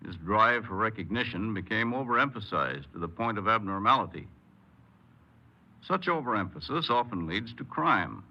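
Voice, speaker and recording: A man's voice: on the low side, sharp tone. A man speaking as a government official, delivering a formal scripted radio address. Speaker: state corrections official, guest speaker. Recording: muffled 1950s radio recording.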